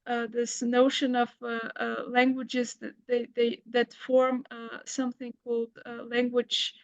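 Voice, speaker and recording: A woman's voice: fairly high pitch, monotone, measured pace, no echo, dull audio. A middle-aged woman, a lecturer answering audience questions, hesitant with many fillers and restarts. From Bulgaria. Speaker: guest lecturer. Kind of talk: academic Q&A answer.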